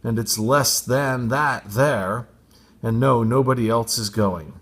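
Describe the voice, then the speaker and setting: A man's voice: mid-range voice, takes it slowly, a bit bright. A man voicing a thirteen-year-old boy in a storybook, casual and mildly impatient.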